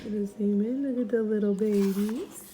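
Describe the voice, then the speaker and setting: A woman, a first grade teacher, reading a storybook aloud expressively and deliberately for young children. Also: medium pitch, steady tone, warm tone, a little hiss or hum, rough recording.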